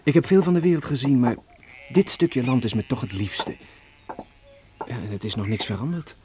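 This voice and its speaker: An actor playing a proud, teasing young sailor who has come home, in a Dutch radio play. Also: male voice, mid-range voice, normal speed, natural voice. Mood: earnest. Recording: noisy old radio drama recording.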